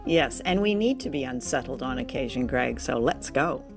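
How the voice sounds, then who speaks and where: A woman's voice: a bass voice, lively intonation, normal speed. A woman hosting a show, delivering a scripted introduction to her co-host and listeners. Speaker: podcast host. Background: instrumental music.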